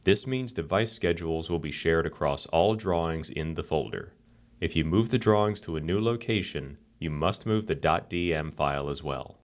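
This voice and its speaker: A man giving calm, scripted narration for a software tutorial screencast. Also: extremely low pitch, normal speed.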